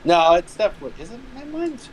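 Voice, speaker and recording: A man's voice: high-pitched, sing-song, fast, a crowd in the background, rough recording. A man chatting casually on a livestream, blunt and sweary, with hesitant phrasing.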